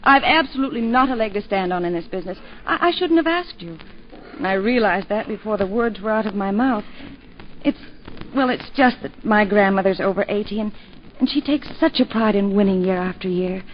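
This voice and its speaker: An actress playing a forthright young upper-class English woman in a 1940s radio drama, quick and stumbling over her words. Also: mid-range voice, slight background noise, natural voice. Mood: earnest. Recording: dull lo-fi 1940s radio broadcast.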